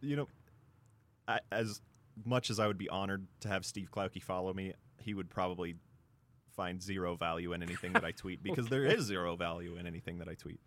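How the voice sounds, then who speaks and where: A man's voice: low-pitched, brisk, fairly bright tone, slight background noise. A man co-hosting casual sports talk radio in an easygoing manner.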